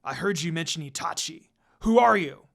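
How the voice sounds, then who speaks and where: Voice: mid-range voice, expressive, moderate pace, vocal fry, a bit bright. A reader voicing a hot-tempered teenage boy ninja with a curt, snarling manner. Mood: hostile. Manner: snarling, snappish.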